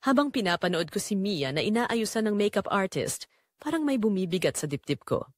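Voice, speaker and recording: A woman narrating as a guilt-ridden, secretly longing mother in a scripted audio drama, with a measured, confessional delivery. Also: mid-range voice, very animated, no room sound, studio quality. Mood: guilty, wistful.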